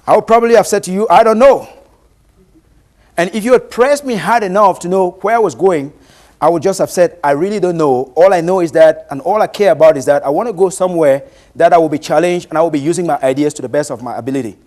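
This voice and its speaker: A fairly high-voiced man with a Cameroonian accent, who grew up speaking a Bantu language, delivers a motivational presentation. He speaks deliberately and with emphasis, posing rhetorical questions to his listeners as a practised presenter would. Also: darkish tone. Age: late 30s.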